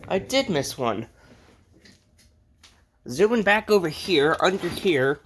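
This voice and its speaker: An American hobbyist vlogger with a mid-pitched voice talks casually and off the cuff. The delivery rambles and drifts between side remarks, with a joking streak. It sounds like an unscripted home video made in a bedroom. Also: a bit bright, a little hiss or hum. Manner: rambling, jokey, easily sidetracked. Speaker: hobbyist vlogger showing a radio collection. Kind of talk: casual unscripted vlog chat. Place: indoors in a bedroom.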